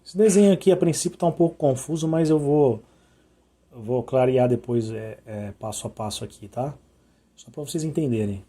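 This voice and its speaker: A hoarse man teaching musical intervals, singing note names to demonstrate them and checking in often with short questions. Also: medium pitch, normal speed, mellow. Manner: sings interval notes to show them. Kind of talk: sung and spoken music lesson.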